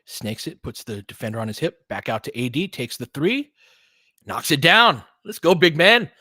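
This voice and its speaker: A man's voice: a little high, sing-song, moderate pace, sharp tone, dry recording, clean studio sound. Man doing casual, partisan basketball play-by-play. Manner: informal, partisan, reacts openly to plays. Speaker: play-by-play commentator and host. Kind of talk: casual fan-style live basketball commentary.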